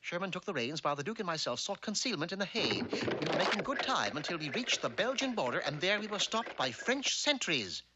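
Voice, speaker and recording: A man's voice: sits high, big pitch swings, a little fast, hardly any pauses, noisy background. A man voicing an erudite, unflappable talking dog who narrates a cartoon in a precise, pedantic, droll way.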